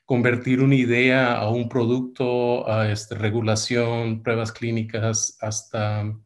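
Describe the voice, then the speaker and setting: Hombre de mediana edad, titubeante. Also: male voice, medium pitch, monotone, slow pace. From United States. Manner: hesitant, many fillers and restarts. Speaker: workshop speaker. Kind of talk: webinar presentation. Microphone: video call.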